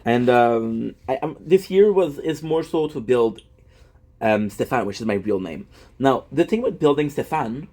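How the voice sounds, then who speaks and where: A man's voice: medium pitch, animated, unhurried, dark tone. A man in his thirties, a gaming content creator, talking in a rambling, self-deprecating monologue full of fillers and restarts. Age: 30s.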